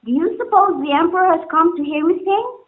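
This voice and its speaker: A woman's voice: very high-pitched, lively intonation, brisk. A woman playing a devoted, innocent young singing girl in an earnest, poetic stage drama. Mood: pleading, resolute. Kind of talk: amateur stage-play dialogue, formal poetic English. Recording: muffled, phone-like narrowband sound.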